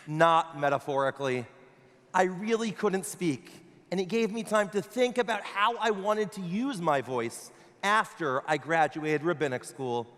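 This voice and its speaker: A man speaks as a rabbi, giving prepared conference remarks in an earnest, measured tone. His voice is notably high for a man. The long reverb of a large hall trails each phrase. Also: fairly bright tone.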